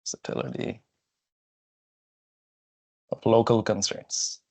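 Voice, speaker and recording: A man's voice: fairly low pitch, flat delivery, slow pace, vocal fry, darkish tone. A young man whose first language is not English, giving a technical seminar talk at a whiteboard in a lecture hall, casual and thinking aloud with frequent okays and fillers, on a lapel mic. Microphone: clip-on lapel mic.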